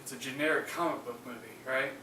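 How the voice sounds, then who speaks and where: A man's voice: mid-range voice, steady tone, quick pace. A man co-hosting a podcast, casually discussing films in a conversational style. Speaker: podcast co-host. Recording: echoey, distant mic, faint noise.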